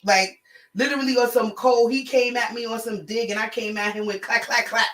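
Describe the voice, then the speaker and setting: A woman's voice: fairly high pitch, steady tone, fairly quick, fairly bright tone. A woman co-hosting a casual chat, with a heavy, confidently strong voice that she keeps down. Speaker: co-host. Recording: echoey room.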